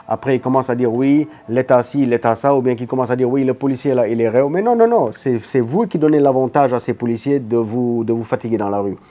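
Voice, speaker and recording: Interview guest: Senegalese man, earnest and emphatic, warm low-key tone, mid pitch. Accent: Senegalese French. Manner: earnest, emphatic, repeats words. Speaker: guest, motorbike shop owner. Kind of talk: interview answers.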